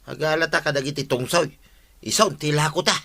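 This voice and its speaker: An actor playing a cheeky, scheming village vendor, brash and wheedling, in a comedic radio drama. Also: male voice, a little high, brisk, vocal fry, bright, forceful tone. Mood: brash, dismissive.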